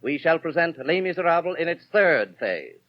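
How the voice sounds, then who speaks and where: A man's voice: on the high side, sing-song, normal speed. A man reading a scripted announcement in the formal style of a 1930s radio announcer. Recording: dull 1930s radio recording.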